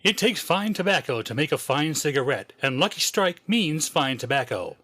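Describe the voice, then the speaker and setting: An actor playing a jovial, polished announcer on a 1940s radio comedy show. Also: male voice, fairly high pitch, big pitch swings, normal speed, fairly bright tone. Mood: emphatic selling. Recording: noticeable background noise.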